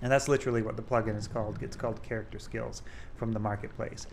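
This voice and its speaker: Male developer for casual demo commentary; lowish pitch, halting delivery, noticeably noisy home recording. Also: steady tone, vocal fry. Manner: halting, thinking aloud. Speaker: game developer showing a project.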